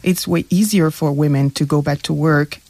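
A woman's voice: low voice, fairly even intonation, moderate pace, warm tone, no room sound. A young woman from Quebec, a graduate student guest, answering earnestly on a radio talk show while searching for words. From Quebec, Canada.

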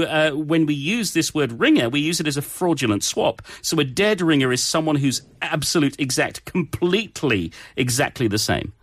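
A man's voice: fairly high pitch, fairly bright tone, dry recording, clean studio sound. A man co-hosting a show about English expressions, explaining them conversationally with examples in a chatty way.

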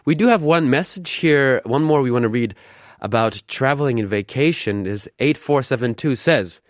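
A middle-aged man hosting a radio show in a studio, relaxed and chatty with many fillers. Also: mid-range voice, normal speed, no echo. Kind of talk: casual radio chat. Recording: dry studio sound, faint music behind.

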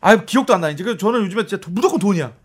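A man co-hosting a casual podcast, quick to joke and exclaim. He talks at a fast clip, and his pitch rises and falls freely. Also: lively intonation, breathy edge. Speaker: podcast co-host. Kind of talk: casual chat.

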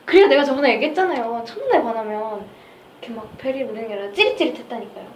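A woman's voice: a little high, lively intonation, rapid-fire. A young woman hosting a podcast, chatting casually with her guests and reading out listener comments. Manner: chatty, laughs often, reads listener comments. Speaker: podcast host. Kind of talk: casual group chat. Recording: roomy, distant mic, noisy.

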